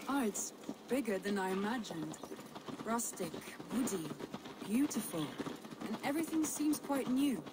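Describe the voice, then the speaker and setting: A woman's voice: fairly high pitch, expressive, measured pace, very noisy. An actress playing a bold, playful Viking woman, confident and hearty, in a video game drama. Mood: admiring. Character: Randvi, spirited bold Viking woman, teasing and adventurous.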